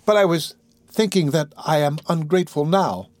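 A man's voice: a little high, normal speed, a little mellow, no room sound, voice pushed up, natural voice. A man voicing an eager, grateful young orphan boy in an audiobook, speaking earnestly. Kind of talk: audiobook character voice.

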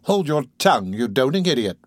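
A man's voice: mid-range voice, animated, normal speed, breathy edge, dry recording, studio quality. A man voicing a stern, self-important workhouse matron in a storybook, scolding sharply.

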